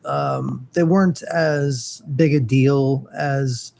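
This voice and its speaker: A man's voice: mid-range voice, fairly even intonation, very slow, creaky, a little mellow. A man in his forties co-hosting a casual chat, speaking hesitantly with many fillers and restarts. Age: 40s. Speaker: co-host.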